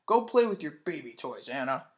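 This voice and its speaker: A man's voice: a little high, sing-song, normal speed. A man reading a curt, grumpy boy. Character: grumpy young boy, big brother.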